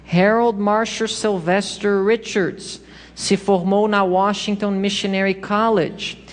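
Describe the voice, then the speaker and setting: Woman lecturing in a reverberant hall. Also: medium pitch. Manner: explanatory, with small hesitations and self-corrections. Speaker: lecturer at a church congress. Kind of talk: church history lecture with slides.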